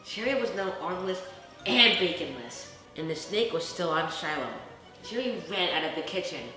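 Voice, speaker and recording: Lively woman reading a bedtime story, very echoey. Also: lowish voice, normal speed. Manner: expressive, dramatic. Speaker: storyteller reading a children's book. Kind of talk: animated bedtime story narration. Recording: very echoey room, music underneath. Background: music.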